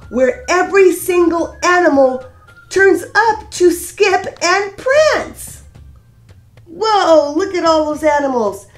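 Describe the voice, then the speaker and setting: Female storytime reader, high voice, slow pace, sing-song, expressive, child-directed narration. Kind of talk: read-aloud picture book narration for young children.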